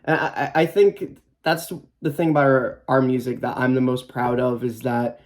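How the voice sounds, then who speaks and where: A man's voice: medium pitch, steady tone, moderate pace. A man in his twenties, a band guitarist, answering interview questions in a casual, hesitant way with many fillers and restarts. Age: 20s. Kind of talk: documentary interview.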